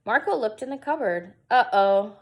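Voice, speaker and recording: A woman's voice: mid-range voice, very animated, measured pace. A woman reading a picture book aloud to young children, expressively and with casual asides. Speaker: preschool storyteller.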